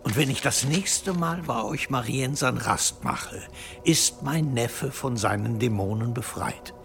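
Gravelly, pompous bishop. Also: male voice, medium pitch, measured pace, fairly bright tone, faint music behind. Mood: gloating. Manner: pompous, stately. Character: self-important, scheming prince of the church, a bishop. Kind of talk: German audio drama acting.

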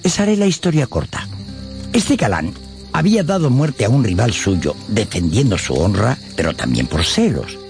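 A man's voice: on the low side, very animated, moderate pace, a little mellow. A man narrating a radio drama as a theatrical storyteller who addresses his listeners conversationally. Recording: music under the voice.

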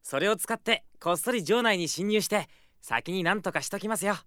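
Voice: medium pitch, animated, moderate pace, fairly bright tone, no echo, clean studio sound, lightly acted. An actor playing a brash, eager young male thief with a casual, cheeky way of talking in a radio drama. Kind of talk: Japanese radio drama acting.

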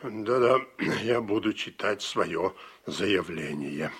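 An actor playing a proud old politician in a radio play, with a gravelly, husky voice. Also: male voice, low voice, expressive, slow pace, fairly bright tone, faint music behind, exaggerated voice. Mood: solemn. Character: proud old male politician, former governor. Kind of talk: Soviet radio play acting.

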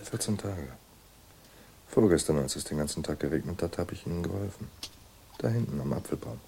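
Ein Schauspieler als gefasster Anstaltsarzt in einem Hörspiel, der bedächtig und erklärend mit rauer Stimme spricht. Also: male voice, deep voice, expressive, creaky, mellow, slight background noise. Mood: discreet, polite. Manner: measured, explanatory. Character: composed male asylum doctor explaining a patient's madness. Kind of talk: German radio drama acting.

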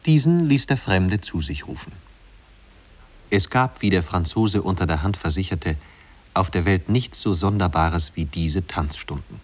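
Deep-voiced male radio-play narrator. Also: expressive, measured pace. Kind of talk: scripted literary narration, 1950s radio drama.

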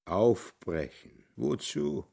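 Deep, slow, sardonic man. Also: animated, gravelly, mellow, breathy edge, exaggerated voice. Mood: weary mockery.

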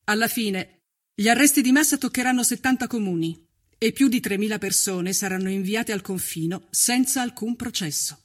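A man reading scripted documentary narration in a solemn, measured and dramatic way. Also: sits high, fairly bright tone.

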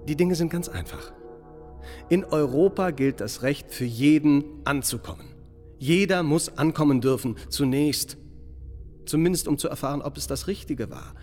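Self-satisfied seaside mayor, radio drama: highish voice, oratorical, slippery, in a reverberant hall. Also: male voice, darkish tone. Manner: oratorical, unctuous, blandly bureaucratic. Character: evasive, self-satisfied small-town mayor of a seaside resort. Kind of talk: German radio drama acting. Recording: long hall reverb, music underneath.

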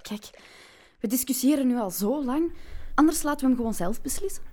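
A woman's voice: sits high, animated, a little fast, mellow. An actress with a Flemish accent playing an exasperated woman in a breakup who dotes on her dog, in a naturalistic comedy radio play. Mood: sarcastic, irritated.

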